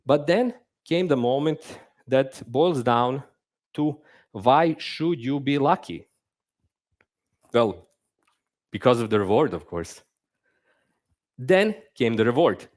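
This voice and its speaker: Young man, lively swinging pitch, casual self-mocking conference speaker. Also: takes it slowly, pauses often. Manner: animated, conversational, self-deprecating humor. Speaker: conference speaker, game narrative designer. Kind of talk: live conference talk. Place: stage at a conference.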